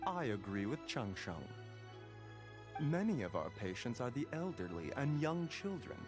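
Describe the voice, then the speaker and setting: Courteous, expressive learned doctor. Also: male voice, medium pitch, unhurried. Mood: calm, advising. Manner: polite, erudite, gently formal. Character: courteous, measured adult male herbal doctor. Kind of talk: video game voice acting. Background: faint game music.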